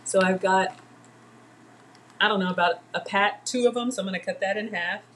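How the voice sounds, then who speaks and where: A woman's voice: mid-range voice, normal speed, a bit bright, slight background noise. A middle-aged woman hosting a casual live cooking demo from her home kitchen, chatting with viewers as she works. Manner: chatty, talks to viewers and names commenters. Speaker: home cooking livestream host. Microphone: fixed camera at counter distance.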